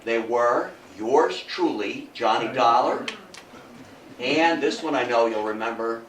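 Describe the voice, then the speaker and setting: An older man with an American accent giving an informal, deliberate history lecture and engaging his audience. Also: medium pitch, animated. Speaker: guest lecturer. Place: meeting room talk. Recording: echoey room, distant mic, faint noise.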